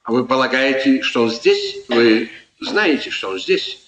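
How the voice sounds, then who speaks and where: An actor in a 1950s radio drama playing a sly, evasive chamberlain, falsely polite, hesitant and insinuating. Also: male voice, medium pitch, animated, a little slow, fairly bright tone, recorded from a distance. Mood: feigned surprise. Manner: hesitant, insinuating, mock courteous. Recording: echoey 1950s radio recording.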